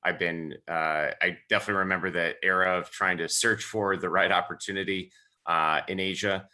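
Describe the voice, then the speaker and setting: A man's voice: low voice, fairly even intonation, a little fast, bright, forceful tone. A man in his forties, an entrepreneur, telling his story casually and candidly on a webinar call.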